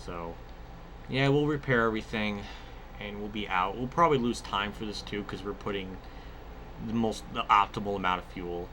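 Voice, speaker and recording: A man's voice: fairly low pitch, normal speed, sharp tone, a little hiss or hum. A man giving casual, rambling gameplay commentary mixed with personal storytelling, conversational and free with swearing. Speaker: gaming video creator.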